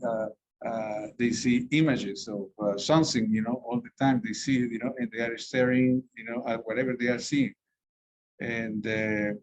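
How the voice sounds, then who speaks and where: A man's voice: mid-range voice, darkish tone, breathy edge. A man from South America giving a rambling medical lecture as a physician, full of fillers and restarts. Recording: dull lo-fi recording.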